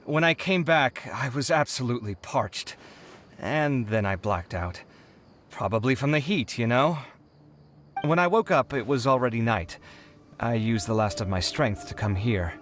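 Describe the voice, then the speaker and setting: A man's voice: mid-range voice, measured pace, soft background music. A man voicing an earnest, dramatic young genius, kind-hearted and a bit defensive, in an anime-style video game. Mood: earnest, weary. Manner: expressive, emphatic.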